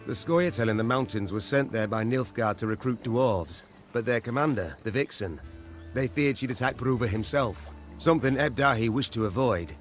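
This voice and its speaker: An actor playing an earnest, loyal knightly officer in a video game, speaking formally and with deference. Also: male voice, medium pitch, normal speed, lightly acted. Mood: grave, reporting. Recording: music behind the voice.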